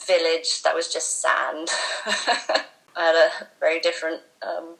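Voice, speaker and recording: Low-voiced young woman from Bahrain. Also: fairly even intonation, a little slow, creaky, a bit bright, low-quality recording. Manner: easygoing, hesitant with "um"s. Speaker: metal band member. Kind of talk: casual interview chat.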